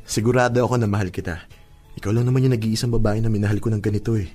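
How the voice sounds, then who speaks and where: A man's voice: fairly low pitch, fairly even intonation, rapid-fire, darkish tone, faint music behind, close to an everyday voice. A man playing a shy, proud young boyfriend in a radio drama, speaking earnestly and hesitantly. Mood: nervous, tender. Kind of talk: Filipino radio drama acting.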